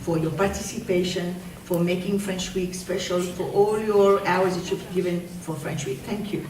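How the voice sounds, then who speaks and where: A woman's voice: lowish voice, fairly even intonation, moderate pace. Female teacher presenting a school award, heartfelt; distant mic, echoey hall, noisy. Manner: warm, emotional praise of a student. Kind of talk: award speech at a school assembly.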